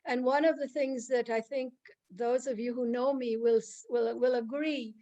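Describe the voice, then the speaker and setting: A woman from Guyana hosts a live online talk. Her voice sits a little high, and she speaks earnestly, with frequent restarts as she looks for the right words. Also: steady tone, normal speed, mellow. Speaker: host introducing a speaker.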